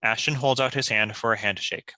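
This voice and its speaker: A man's voice: medium pitch, fairly even intonation, fairly quick, noisy background. A man reading scripted stage directions as the narrator.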